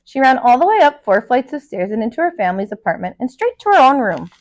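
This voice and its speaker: A woman who is a teacher and storyteller, reading a children's picture book aloud in an expressive, dramatic way. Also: fairly high pitch, fast.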